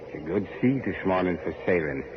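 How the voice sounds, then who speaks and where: A very deep-voiced, bitter old boatman in a 1940s radio horror drama. He talks in terse, rustic phrases with taunting menace. The old recording is dull and heavily noisy. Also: expressive, fairly quick. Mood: terse, ominous. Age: older. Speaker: radio actor.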